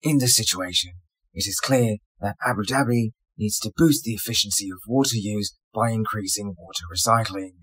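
A man giving a scripted, formal read-aloud as a model reader, with measured, careful phrasing. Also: lowish voice, a bit bright, slightly rough, low-quality recording. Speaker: sample reader for English test practice.

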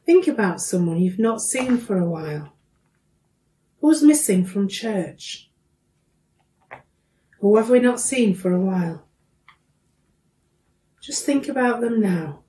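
A middle-aged woman leads prayers in a slow, measured way, leaving pauses between short phrases. Her voice is soft-edged and mellow. She is recorded a little way from the microphone in a domestic room. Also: mid-range voice. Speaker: church prayer leader. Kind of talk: reading led prayers aloud to a congregation. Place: small domestic room, indoors. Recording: slightly distant microphone.

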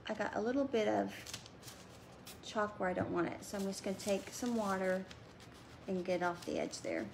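A woman's voice: medium pitch, very animated, moderate pace, vocal fry, background noise. A woman in her thirties chatting her way through a home craft demonstration at a table, talking as she works. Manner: chatty, talks while working with her hands. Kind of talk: casual how-to craft demo.

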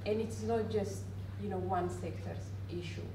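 Woman, halting earnest panel talk, echoey room, distant mic. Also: mid-range voice, steady tone. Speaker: panel speaker on community health. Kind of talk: panel presentation, explaining with examples. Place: conference room panel table, indoors. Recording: roomy, distant mic, clear background noise.

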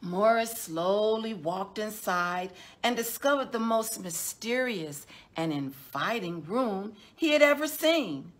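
A middle-aged woman, a children's storytime host, reading a picture book aloud in a measured, deliberate way with drawn-out words. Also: mid-range voice, expressive. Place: indoors, small decorated room.

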